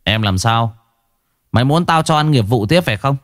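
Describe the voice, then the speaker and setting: A man voicing a blunt male police investigator who grills captured thugs in a gruff, coarse way. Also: mid-range voice, animated, moderate pace, fairly bright tone, dry recording, studio quality, natural voice. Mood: stern grilling. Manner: gruff interrogating, coarse-spoken.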